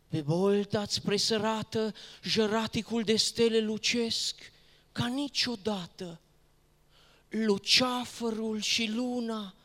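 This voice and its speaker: Reciter for religious poetry: solemn and declamatory, medium pitch, heard in a long reverberant hall. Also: fairly bright tone. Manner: solemn, declamatory, with rhetorical questions and exclamations. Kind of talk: dramatic recitation of religious verse.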